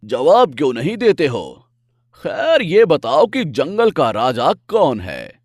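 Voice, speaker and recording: A man's voice: on the high side, wildly swooping pitch, slow, darkish tone, no echo, clean studio sound, exaggerated voice. A man voicing a bullying, boastful lion king in a cartoon animal fable, demanding and blustering. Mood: angry, mocking. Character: bullying boastful lion king, cowed and whining once beaten.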